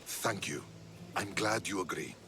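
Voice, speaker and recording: An actor playing a measured, principled male Spartan commander in a game cutscene who argues calmly and persuasively. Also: low-pitched, creaky, background noise. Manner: calm, persuasive. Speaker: Spartan general.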